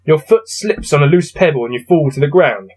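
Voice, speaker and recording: A man reading a gamebook aloud and adding low-key, wry muttered commentary as he plays. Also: animated, brisk, darkish tone, slightly rough, faint music behind. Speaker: solo gamebook player and reader.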